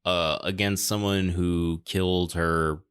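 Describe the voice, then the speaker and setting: Low-voiced man, sardonic film critique. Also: flat delivery, slow pace, a bit bright, clean tone. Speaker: podcast host. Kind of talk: casual film review chat.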